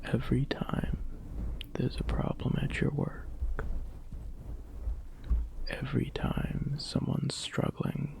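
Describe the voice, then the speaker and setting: A man playing a tender, adoring boyfriend in an ASMR roleplay, speaking in soft, breathy, gentle whispers. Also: medium pitch, completely flat delivery, takes it slowly, pauses often. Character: tender, adoring boyfriend comforting a sad partner.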